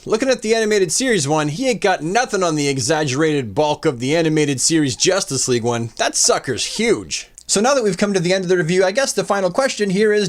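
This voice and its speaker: A man hosting an informal review in a chatty, emphatic voice-over with playful wording. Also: high voice. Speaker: host of a toy review channel.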